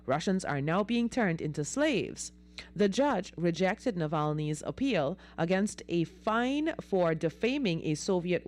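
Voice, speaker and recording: A woman's voice: fairly low pitch, darkish tone. A woman presenting the news on radio, reading from a script in a studio.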